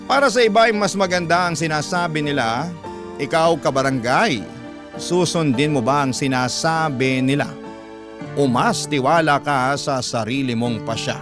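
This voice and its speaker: Casting: Filipino-accented male radio storyteller, fairly high voice, emotional scripted narration over clear music. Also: moderate pace.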